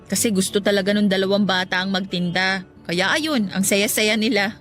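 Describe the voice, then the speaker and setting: A woman playing an affectionate, teasing younger married woman in a Filipino radio drama, speaking in a gently reassuring way. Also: medium pitch, normal speed, soft background music, lightly acted. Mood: playful.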